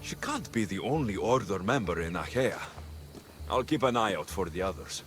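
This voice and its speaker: Man playing confident mercenary warrior, lowish voice, terse, assured, video game drama, music behind. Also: animated, normal speed, natural voice. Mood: confident resolve. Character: resolute, confident male mercenary warrior hero.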